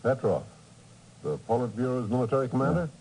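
An actor playing a concerned intelligence chief in a 1950s radio drama. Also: male voice, medium pitch, expressive, fairly quick, mellow, lightly acted. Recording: noisy vintage 1950s radio broadcast.

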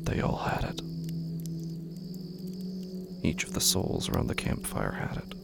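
A man with a gravelly, creaky voice giving brooding, hushed, literary narration in a horror audio drama. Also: measured pace, over loud music. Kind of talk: scripted literary narration, solemn and slow.

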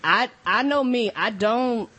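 A woman's voice: a little high, slow pace, pushed and bright. A woman co-hosting a podcast, giving blunt, frank opinions in casual banter. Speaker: podcast co-host.

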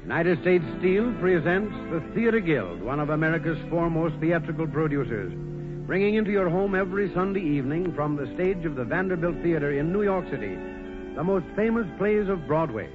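A man's voice: fairly high pitch, sing-song, brisk. A man announcing a programme in formal, stately, declamatory 1940s radio style. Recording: tinny vintage radio, music behind.

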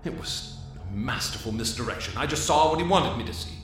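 Radio drama lead: male actor as assured, sardonic trapped escape artist, very lively intonation, faint music beneath. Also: mid-range voice, a little fast, natural voice. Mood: bitter, determined. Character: bold, wry escape artist, grown man, trapped underground. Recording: echoey studio drama, music underneath.

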